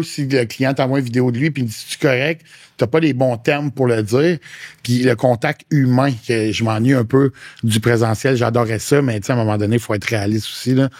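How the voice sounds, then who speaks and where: A man's voice: medium pitch, no room sound, clean studio sound. A man with a Québécois French accent, a fitness coach talking frankly as a podcast guest, slipping English slang into casual chat. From Quebec.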